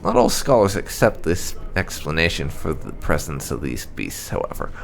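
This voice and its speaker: A man narrating game lore aloud as a playthrough host, in a measured reading manner. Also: low-pitched, vocal fry.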